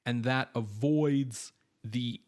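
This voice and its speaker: A man's voice: medium pitch, takes it slowly, a little mellow. A man co-hosting a film podcast, reading background notes in an informative, casual way with frequent fillers. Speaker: podcast co-host.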